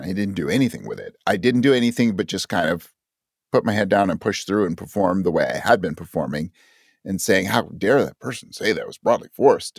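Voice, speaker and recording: A man's voice: lowish voice, no echo, clean studio sound. A man talking as a guest in a casual interview, reflective and self-deprecating. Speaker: podcast guest, actor and audio drama writer.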